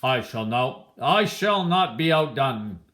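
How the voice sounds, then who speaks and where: Casting: woman as proud, grudging folktale villager, slow emphatic delivery, highly animated intonation. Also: heavily acted. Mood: boastful. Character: assorted villagers, grudging then eager to outdo each other.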